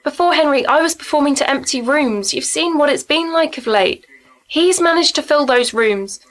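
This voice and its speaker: An actress plays a woman poet in a radio drama, her voice on the high side. She sounds understated and plain-spoken, unsure of herself yet ambitious, and quick to defend herself. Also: close to an everyday voice. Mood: defensive. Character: self-doubting but ambitious woman performance poet, defensive. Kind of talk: naturalistic radio drama acting.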